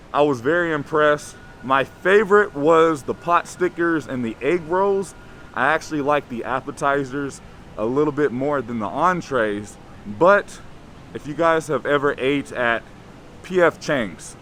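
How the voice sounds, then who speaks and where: A man with a slightly raspy voice narrating an upbeat, chatty vlog outdoors at a theme park. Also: a little high, fairly bright tone, slight background noise. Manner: enthusiastic, chatty.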